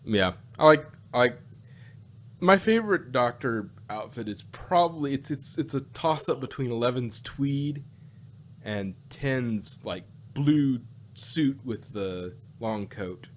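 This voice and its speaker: A man's voice: mid-range voice, expressive, slow pace, a little hiss or hum. A man chatting casually as a podcast host, hesitant and often repeating and rephrasing himself as he goes. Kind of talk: casual chat.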